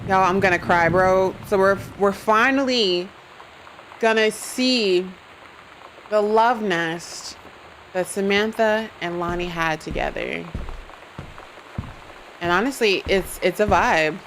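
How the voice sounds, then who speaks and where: A woman streaming a game live, expressive and reacting out loud, with some swearing. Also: medium pitch, takes it slowly, pauses often, a little hiss or hum, poor audio. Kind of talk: live gameplay commentary.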